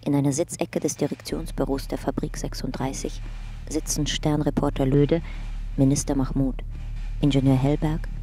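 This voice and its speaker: Actor as flat, bright, detached caption narrator. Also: medium pitch, normal speed, close to an everyday voice. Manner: factual, deadpan. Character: detached narrator reading out archive photo captions. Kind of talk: scripted narration in a radio drama.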